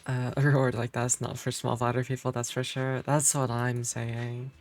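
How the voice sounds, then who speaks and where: A man's voice: medium pitch, little pitch movement, normal speed. A man live streaming a game and chatting casually with viewers in a hesitant, rambling way. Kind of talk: casual chat with viewers while gaming. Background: game music.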